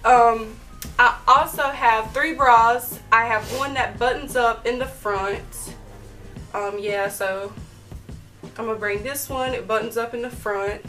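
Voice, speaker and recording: A heavily pregnant young woman co-hosting a casual couple's vlog from a bedroom, talking in a soft, chatty way. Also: on the high side, steady tone, unhurried, far from the mic, faint music behind. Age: young adult. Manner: soft-spoken, chatty, with light laughs and fillers. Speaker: vlog co-host. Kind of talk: casual chat. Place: bedroom, indoors.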